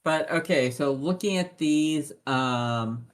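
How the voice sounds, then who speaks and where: A man's voice: fairly high pitch, slow pace. A man chatting casually as a player in a tabletop game, often hesitant and asking questions.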